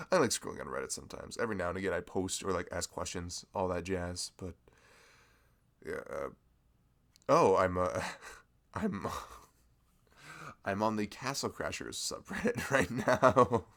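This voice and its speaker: A man's voice: lively intonation, a little slow, long pauses, a bit bright, exaggerated voice. A man playing a sweet, bashful young male florist in a chatty, hesitant ASMR roleplay, with a velvety voice. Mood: sheepish, amused. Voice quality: velvety, low, creaky. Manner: chatty, hesitant, rambling, self-deprecating. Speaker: ASMR voice performer. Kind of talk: ASMR roleplay, improvised casual chat.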